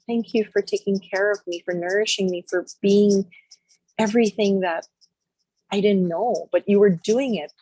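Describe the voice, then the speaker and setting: A woman leading a guided healing meditation, speaking slowly and softly in short repeated phrases with long pauses. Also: mid-range voice, fairly even intonation, dry recording, rough recording. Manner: slow, soft, repetitive phrases with long pauses.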